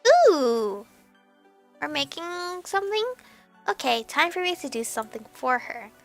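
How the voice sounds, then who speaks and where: A woman reading a visual novel aloud as expressive narration, with animated reactions and some stumbling over words. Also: high-pitched, a little slow. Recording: background music behind voice. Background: game music.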